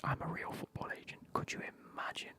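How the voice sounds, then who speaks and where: A man, whispering as he banters with his live stream chat and swears now and then. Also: quick pace.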